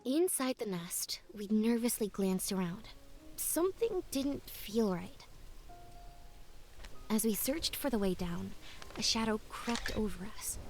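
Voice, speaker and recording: A woman's voice: mid-range voice, animated, takes it slowly, darkish tone. A woman narrating a scripted first-person story as a young woman recalling her journey away from home. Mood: reflective. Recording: music under the voice. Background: game music.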